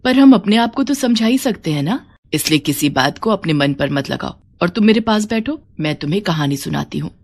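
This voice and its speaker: A woman's voice: fairly low pitch, lively intonation, fairly quick, few pauses. A woman voicing a tender, worried queen and mother in a storybook tale, speaking earnestly. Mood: soothing.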